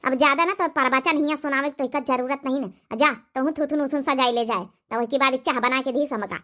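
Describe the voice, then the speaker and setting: Woman voicing a shrill, bossy village mother-in-law. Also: a little fast. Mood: sarcastic. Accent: Awadhi. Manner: scolding, sharp. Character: bossy, sarcastic village mother-in-law, sharp-tongued and proud. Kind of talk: cartoon comedy dialogue.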